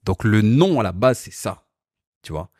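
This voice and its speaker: A man's voice: on the low side, lively intonation, a little slow, a little mellow, no echo, studio quality. A man, born in Portugal, chatting animatedly as a guest in an interview, often repeating words while he looks for them. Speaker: guest, car company founder. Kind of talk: casual interview chat.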